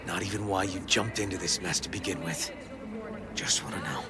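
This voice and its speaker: A man playing a dogged, principled police detective in a video game, terse and gruff, with an American accent. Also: deep voice, normal speed, over loud music, natural voice. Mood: confrontational. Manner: terse, clipped, gruff street talk.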